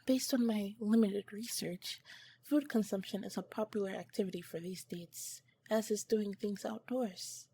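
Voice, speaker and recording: An earnest female alien scientist, played by a woman, speaks softly and slowly in an ASMR roleplay. Her breathy voice carries formal, clinical phrasing, broken by shy, flustered hesitation. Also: mid-range voice, fairly even intonation. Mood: formal, polite. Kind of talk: ASMR roleplay, soft-spoken to the listener.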